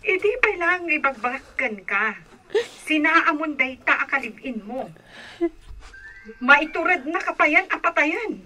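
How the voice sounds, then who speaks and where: Sharp, high-voiced scolding elder sister. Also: female voice, expressive, unhurried, pauses often, heavily acted, rough recording. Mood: scolding, urging. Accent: Filipino. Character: scolding, protective elder sister urging escape. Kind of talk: Ilocano radio drama acting.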